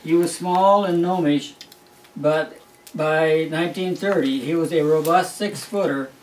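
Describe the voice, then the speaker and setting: A man's voice: fairly high pitch, a little slow, darkish tone, a little hiss or hum. An older man, a grandfather, reads a Christmas story aloud at a family home in a wry, amused storytelling manner. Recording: roomy, distant home recording.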